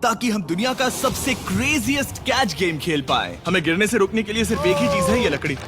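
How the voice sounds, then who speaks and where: A man voicing an excitable young video host in a dubbed challenge video, hyped and commanding as he narrates and banters. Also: high voice, a little fast. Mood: upbeat. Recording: loud music behind the voice.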